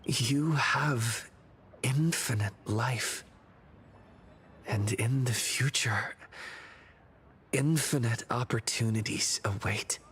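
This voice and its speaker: A man voicing a calm, earnest young protagonist in a video game, speaking in a measured, gentle way. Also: mid-range voice, husky, heavily acted. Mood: soft, encouraging.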